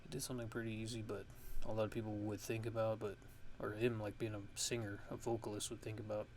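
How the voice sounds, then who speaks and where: A man's voice: fairly low pitch, flat delivery, fast, a little mellow, a little hiss or hum. A man giving a casual ASMR-style music production tutorial, thinking aloud in a hesitant, rambling way.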